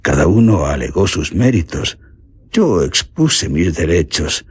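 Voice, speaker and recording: A grave bandit confessing in a Spanish radio horror play. The voice is low and very raspy, recorded close and dry. The delivery is deliberate and theatrical, with a Castilian accent. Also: male voice, very animated, a little mellow, dry recording, full character voice. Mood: grave, ominous. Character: bandit recounting his gang's dark deeds, grave and ominous. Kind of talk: dramatic scripted narration, radio horror drama.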